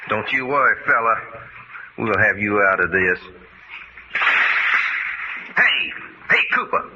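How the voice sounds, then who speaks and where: Drawling, folksy Southern adventurer, very noisy recording. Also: male voice, on the low side, slow. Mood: reassuring, then indignant. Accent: American Southern. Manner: folksy drawl with rustic slang. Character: folksy, hot-tempered drawling Texan adventurer. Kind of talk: old-time radio drama acting. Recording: muffled, very noisy vintage radio recording.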